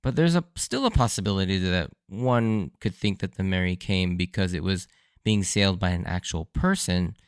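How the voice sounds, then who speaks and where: A man hosting a solo podcast in a casual, chatty way, his voice a little hoarse and worn. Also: sits low, normal speed, no echo, studio quality. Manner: conversational, with frequent fillers and light laughs. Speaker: podcast host. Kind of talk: solo podcast episode review.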